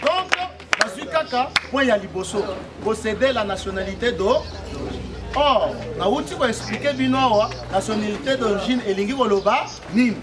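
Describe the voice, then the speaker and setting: High-voiced Congolese man addressing a crowd. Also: normal speed. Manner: explanatory, repeatedly checks the crowd understands, leads call-and-response chants. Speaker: speaker at a political meeting. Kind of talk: public address explaining law to a crowd. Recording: distant mic, crowd heard behind. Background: crowd responding.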